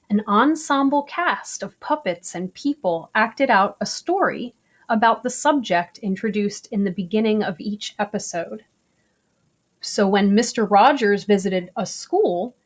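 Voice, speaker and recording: A woman reading a picture book aloud for children, with deliberate phrasing. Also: mid-range voice. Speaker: story reader. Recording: slightly dull, lo-fi recording.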